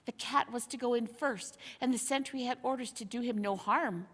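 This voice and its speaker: A woman's voice: a little high, normal speed, a bit bright. A woman voicing a brash, eager and scornful schoolboy in a storybook, blurting things out emphatically. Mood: eager, excited. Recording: long hall-like reverb.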